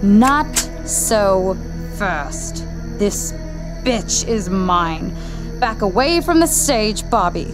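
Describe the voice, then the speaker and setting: A woman's voice: medium pitch, expressive, very slow, over music. An actress playing a defiant, vengeful young woman who curses her enemy and later sobs over a dying friend. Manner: defiant, cursing, later sobbing. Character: vengeful young woman, fierce then desperate.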